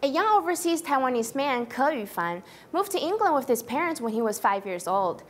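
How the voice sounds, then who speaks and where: A woman presenting news headlines in a steady, formal read. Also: high voice, fairly quick, a little hiss or hum. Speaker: news anchor.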